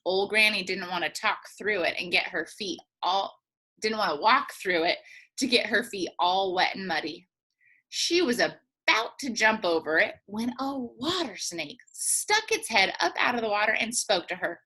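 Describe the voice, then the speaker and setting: A woman teacher reading a children's storybook aloud in an animated way, drawing words out for emphasis. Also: mid-range voice, normal speed, slightly rough, low-quality recording.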